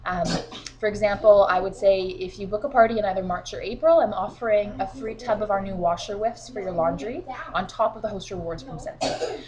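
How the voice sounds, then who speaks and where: A woman talking to her team from home while fighting a cold, her voice a bit sniffly. She speaks in a chatty, encouraging way with plenty of filler words, and her pitch sits right in the middle. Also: brisk, a crowd in the background, poor audio. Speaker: sales team leader. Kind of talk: casual instructional vlog. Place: at home, children beside her.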